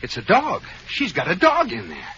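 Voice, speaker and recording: A flustered husband. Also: male voice, sits high, huge pitch swings, moderate pace, a crowd in the background. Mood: fretful. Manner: flustered, fretting. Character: flustered, affectionate middle-aged husband. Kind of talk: 1940s radio comedy acting. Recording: dull old radio broadcast, studio audience.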